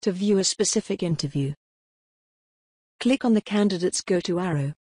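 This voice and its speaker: A woman reading scripted tutorial narration in a measured, impersonal, step-by-step way. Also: lowish voice, dark tone, breathy edge, no echo, clean studio sound.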